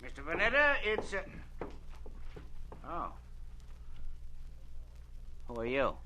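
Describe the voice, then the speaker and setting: A man's voice: fairly high pitch, wildly swooping pitch, slow pace, pushed and bright, slight background noise, heavily acted. An actor playing a cool, businesslike male agent with a clipped, sometimes hesitant manner in an old-time radio drama. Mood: startled, brisk. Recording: vintage radio drama recording.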